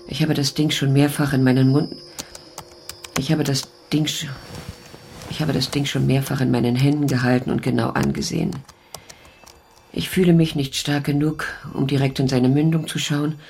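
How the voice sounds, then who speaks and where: Weary, creaky-voiced elderly mother. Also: rumbling low, a little mellow. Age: older. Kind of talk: German radio crime drama acting.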